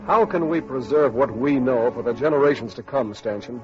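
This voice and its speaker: An actor playing an earnest, idealistic male community leader in a radio drama, speaking deliberately and anxious for the future. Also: mid-range voice, lightly acted. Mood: worried, earnest. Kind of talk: 1950s radio drama acting. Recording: muffled old radio recording, music behind.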